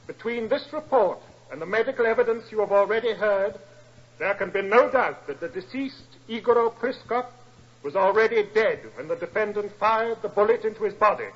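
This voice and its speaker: An actor playing a stern courtroom judge with a formal, declaratory delivery in a 1940s radio drama. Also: male voice, high voice, lively intonation, moderate pace, fairly bright tone. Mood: solemn. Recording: muffled 1940s radio recording.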